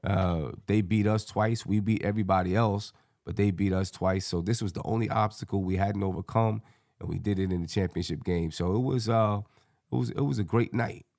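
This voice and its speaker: Man, low gravelly hoarse voice, slangy casual sports talk, panel show host, dry close sound. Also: fairly even intonation, fairly quick, a little mellow, dry recording. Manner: slangy, colloquial. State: hoarse, scratchy voice.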